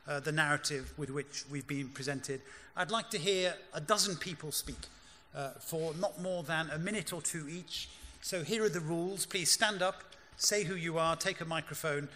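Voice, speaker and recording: Male panel moderator, bright tense voice, brisk and wry, lectern mic in a reverberant hall. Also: on the high side. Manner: brisk, dryly wry, directive. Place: large conference hall stage. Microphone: lectern microphone. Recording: hall reverb, faint room hum.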